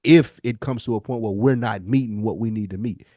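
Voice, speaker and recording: A man's voice: fairly low pitch, brisk, mellow, no echo, clean studio sound. An American man hosting a podcast, talking casually and reflectively in an earnest, rambling, emphatic way, with slang and swearing. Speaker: podcast host. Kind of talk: casual, reflective conversation with a co-host.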